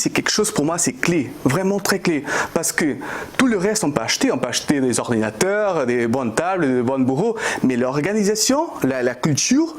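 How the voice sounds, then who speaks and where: Portuguese guy, 30s, kinda high voice, stumbles and restarts a lot, ceo talking business. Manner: hesitant, many fillers and restarts, non-native phrasing. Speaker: startup CEO, interview guest. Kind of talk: business interview answers.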